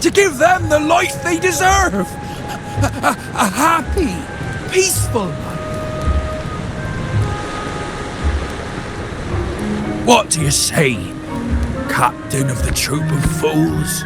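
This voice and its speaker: A scheming male pirate captain from a video game, high in pitch and swooping all over. He drags his words out theatrically, wheedling and greedy, with music underneath. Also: very animated, slow pace, vocal fry, heavily acted. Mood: falsely pleading. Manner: theatrical, stammering, falsely pleading. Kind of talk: video game character voice acting.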